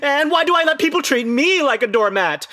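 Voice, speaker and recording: Brash ranting grown son, wildly animated. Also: male voice, very high-pitched, fairly quick, full character voice. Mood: hysterical ranting. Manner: ranting, indignant. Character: aggrieved adult son, brash, ranting, self-pitying. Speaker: actor in audio play. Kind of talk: comedic audio drama acting.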